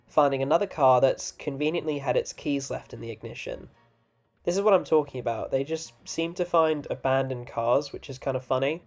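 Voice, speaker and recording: A man's voice: medium pitch, a little mellow. A man narrating a scripted review, dropping in informal, opinionated and wry asides. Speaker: video essay reviewer and narrator.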